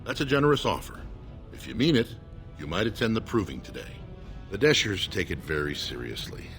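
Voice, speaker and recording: Deep-voiced formal dwarf noble. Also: male voice, very animated, a bit bright, soft background music. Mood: guarded, courteous. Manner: measured, formal, diplomatic. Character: formal male dwarf noble's second-in-command, guarded, courteous. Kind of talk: scripted video game dialogue.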